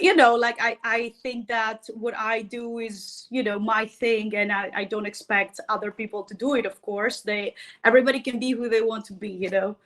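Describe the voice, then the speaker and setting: Woman, Greek accent, metal vocalist guest, casual chat, laughs a lot, bright voice. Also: fairly high pitch. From Greece. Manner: chatty, laughs often, frequent fillers. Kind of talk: casual live-stream interview.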